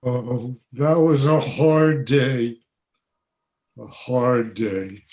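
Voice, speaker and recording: A man's voice: mid-range voice, drawn-out words, a little mellow. A man playing a wistful, grieving father from a past century in a radio play.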